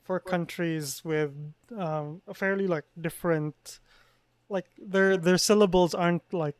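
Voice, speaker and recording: A young man with a faint Filipino accent and a fairly high voice co-hosts a relaxed podcast. He stumbles and repeats words, filling the gaps with "like" and "you know". The sound is dry and close to the microphone. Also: measured pace, clear, resonant tone, no echo. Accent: Filipino, mostly neutral English. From Philippines. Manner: hesitant, repeats words, many fillers. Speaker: podcast co-host. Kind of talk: casual chat.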